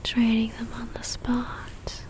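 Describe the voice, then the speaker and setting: Woman whispering as a slow, possessive vampire lover. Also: a little high, completely flat delivery. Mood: tender, lovestruck. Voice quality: soft, breathy whisper. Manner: slow, intimate, coaxing. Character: possessive lovestruck female vampire stalker, centuries old. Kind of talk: whispered ASMR roleplay. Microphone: close ASMR microphone.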